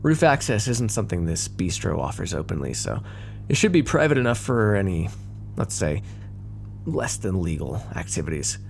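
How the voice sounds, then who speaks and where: A man's voice: sits low, big pitch swings, normal speed, creaky, darkish tone, slight background noise, exaggerated voice. A man playing a cocky, teasing mafia boss with a theatrical, flirty drawl in an ASMR roleplay. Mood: flirtatious, teasing.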